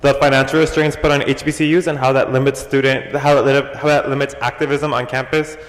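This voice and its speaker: Loud, halting young man questioning a panel. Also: medium pitch, level tone. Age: young adult. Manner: loud, halting, restarts with many ums. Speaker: audience member asking a question. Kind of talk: question from the floor. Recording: echoey hall, long reverb.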